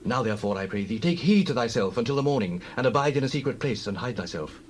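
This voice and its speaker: A man's voice: mid-range voice, lively intonation, fast, slight background noise, lightly acted. An actor playing a loyal prince in a dramatized Bible reading, pleading earnestly for his friend. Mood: urgent. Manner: earnest, pleading, archaic delivery.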